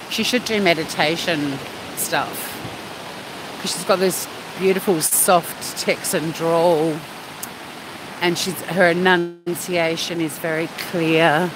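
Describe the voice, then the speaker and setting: A woman with an Australian accent chatting casually and cheekily as a live stream host, replying to viewers outdoors at the beach. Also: deep voice. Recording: loud steady wind or surf noise.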